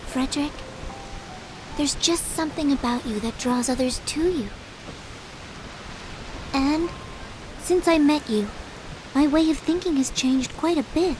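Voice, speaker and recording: A gentle, earnest young girl. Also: high-pitched, a little slow, long pauses, noisy background. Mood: tender. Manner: soft, sincere, reflective. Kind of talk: game cutscene voice acting. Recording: game audio with clear music behind.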